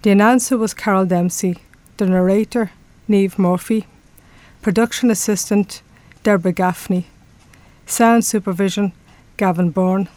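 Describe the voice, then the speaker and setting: A woman's voice: mid-range voice, steady tone, normal speed, darkish tone, no echo. A woman with an Irish accent reading a scripted announcement as a radio announcer. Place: radio studio.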